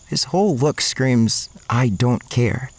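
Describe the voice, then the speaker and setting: A man's voice: mid-range voice, takes it slowly, darkish tone, no echo. An actor playing an awkward, anxious young college man narrating an audio drama in first person, with music behind him. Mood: anxious, watchful. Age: young adult. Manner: hesitant, stammering in dialogue. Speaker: narrator and main character.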